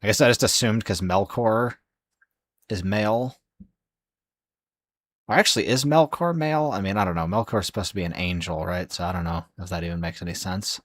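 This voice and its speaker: Casting: young adult male streamer, hoarse and sleepy, very low voice, dry close sound, rambling delivery. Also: brisk, pauses often, no echo. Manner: rambling, many fillers and restarts. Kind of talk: casual live-stream chat.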